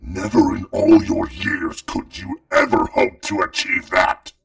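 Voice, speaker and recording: A man's voice: deep voice, wildly swooping pitch, a little slow, gravelly, fairly bright tone, dry recording, full character voice. A man voicing a proud, stern dragon father in a fantasy audio drama, with a scornful, dramatic delivery. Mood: bitter, accusing. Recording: distant mic with music behind.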